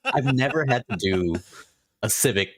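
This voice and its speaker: A man co-hosting a podcast in casual chat, dropping quick dry quips and teasing remarks. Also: mid-range voice, animated, a bit bright, dry recording. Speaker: podcast co-host.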